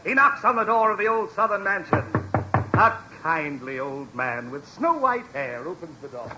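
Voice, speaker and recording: Old radio w music, dramatic movie narrator, really high voice, comedy. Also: male voice, sing-song, normal speed, rough recording. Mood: melodramatic. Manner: melodramatic, declamatory. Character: breathless melodramatic movie narrator and excited onlooker. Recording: vintage radio recording.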